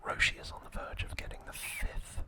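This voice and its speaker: A man narrating a scripted ASMR video in a breathy whisper, with deliberate phrasing in short pieces. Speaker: host of an ASMR channel.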